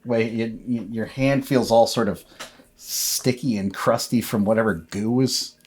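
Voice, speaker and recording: A man acting as game master, narrating a tabletop horror game in a conversational, improvised, halting way. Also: mid-range voice, fairly even intonation.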